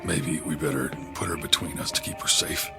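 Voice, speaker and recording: A man's voice: a bass voice, steady tone, a little fast, vocal fry, music in the background. A man playing a dry, wry, laid-back sidekick camper in an audio drama. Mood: dry, wary.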